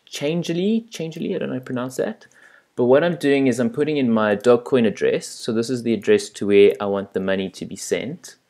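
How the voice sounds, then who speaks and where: A South African man talks his viewers through a screen recording in a loose, chatty way, with plenty of ums and restarts. His voice sits at an ordinary middle pitch. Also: darkish tone. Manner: chatty, self-deprecating, with fillers and restarts. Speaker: channel host. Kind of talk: casual screen-recording walkthrough.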